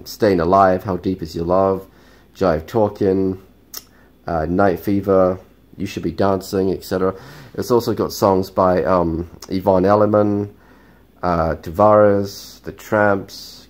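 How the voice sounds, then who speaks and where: A man hosting a casual record haul vlog, talking in a chatty, rambling way with lots of ums, his voice croaky and stuffy from a cold. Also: deep voice, darkish tone. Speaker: vinyl collecting vlogger.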